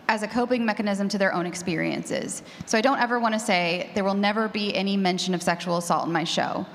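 Female panelist, medium register, informal, thoughtful delivery, reverberant hall sound. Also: medium pitch. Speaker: panelist, horror fiction podcaster. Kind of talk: live panel discussion, casual. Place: large echoey room at a convention panel. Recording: echoey hall.

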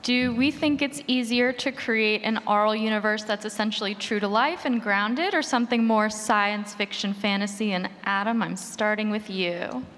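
A woman's voice: medium pitch, normal speed, few pauses, a bit bright. A woman moderating a panel, putting questions to the speakers. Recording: echoey hall, background noise.

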